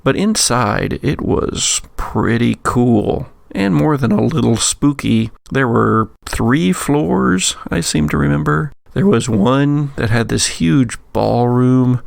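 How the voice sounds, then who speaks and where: A man narrates at an unhurried pace in a gravelly, creaky voice, close and dry. He hosts like a game master telling a spooky tale, with dramatic pauses and wry asides. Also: mid-range voice, lively intonation, no room sound. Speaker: podcast host and game master.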